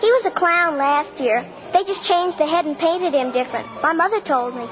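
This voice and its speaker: A very high-pitched little girl, acted by a woman, precocious and literal, who has no time for fantasy. She speaks in blunt, grown-up phrases on a dull old radio drama recording with music playing behind. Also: normal speed, close to an everyday voice. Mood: matter-of-fact. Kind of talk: 1950s radio drama acting.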